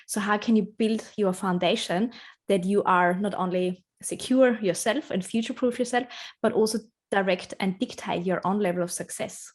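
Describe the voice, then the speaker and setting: A woman's voice: medium pitch, moderate pace. A woman speaking English as her second language, answering interview questions about her work in an earnest, reflective way, often laughing. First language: not English. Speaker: interview guest, branding strategist.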